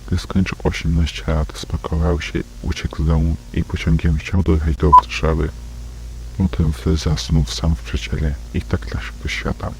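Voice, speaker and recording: A man with an extremely deep voice narrates an analog horror case file. His delivery is monotone and detached, like a report being read out. A faint hum sits behind the recording. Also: normal speed. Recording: faint hum and background noise.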